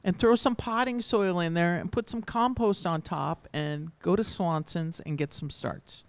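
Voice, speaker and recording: A woman co-hosting a casual chat in an animated, encouraging way. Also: on the low side, measured pace, dry recording. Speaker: co-host.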